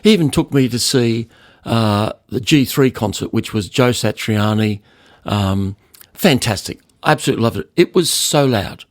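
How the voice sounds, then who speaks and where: A man's voice: medium pitch, lively intonation, normal speed, no echo, studio quality. An older man telling stories in a conversational interview, speaking emphatically and repeating words to stress them. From Australia. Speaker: loudspeaker designer, podcast guest.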